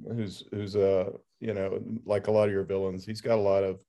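Man, interviewer, casual chat, hesitant, fairly low voice, mellow tone. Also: little pitch movement. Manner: hesitant, halting questions.

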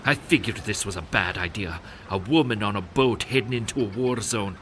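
Over loud background noise comes a man acting a gruff mercenary riverboat captain. His voice sits low, and he talks in rough rustic dialect. He is crude, sneering and menacing in the style of a full-cast audio drama. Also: normal speed, lightly acted. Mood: barking orders.

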